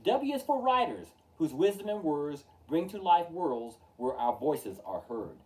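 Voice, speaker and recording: A man reading a rhyming children's picture book aloud with rhythmic, emphatic, expressive delivery. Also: on the high side, measured pace. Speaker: storytime reader. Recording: distant from the mic.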